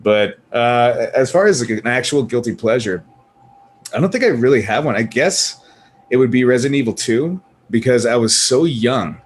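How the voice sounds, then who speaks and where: A man's voice: mid-range voice, expressive, normal speed, slight background noise. A man chatting casually as a panelist on a gaming show, telling stories and ranting about films in a sweary, jokey way. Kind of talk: casual chat, animated film rant.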